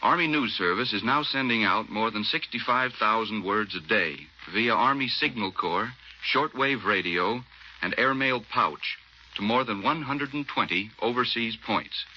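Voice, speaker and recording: An American man speaks as an army officer in a low, very sharp voice. His answers are clipped and matter-of-fact, read stiffly for a muffled 1940s radio interview. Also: animated, moderate pace, a little hiss or hum.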